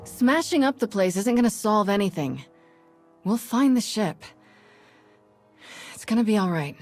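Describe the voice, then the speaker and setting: Casting: actress as practical, quick-witted sci-fi game companion, mid-pitched woman, assured and wry. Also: animated, darkish tone, faint music behind, close to an everyday voice. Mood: reassuring. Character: practical, reassuring, quick-witted female space adventurer.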